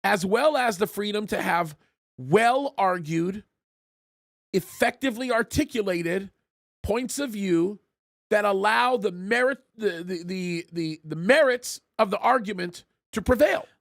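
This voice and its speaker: High-voiced man hosting, emphatic. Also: animated, measured pace, creaky, no room sound, clean studio sound. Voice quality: high-pitched voice. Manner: emphatic, repeats words when starting a point. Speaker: pastor and show host. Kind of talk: live political talk show discussion.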